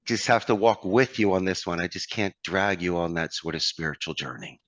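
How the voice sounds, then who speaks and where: A man's voice: low voice, brisk, fairly bright tone, no room sound. A man with a Philadelphia accent, a guest on an interview who talks in an expansive, motivational way. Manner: expansive, motivational, addresses host by name. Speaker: call center owner, guest. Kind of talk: conversational interview.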